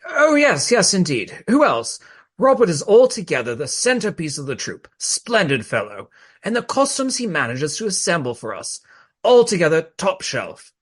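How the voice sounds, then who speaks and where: An actor in a radio play voicing an affable, vain Regency gentleman of amateur theatre, effusive, plummy and self-satisfied. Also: high-pitched, wildly swooping pitch, normal speed, breathy edge, exaggerated voice. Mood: effusive, smug.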